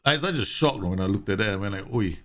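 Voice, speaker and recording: A man's voice: fairly low pitch, animated, fast talker, fairly bright tone. A man from Singapore live-streaming a football management game, chatting casually, reading game news aloud and laughing often. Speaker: gaming streamer.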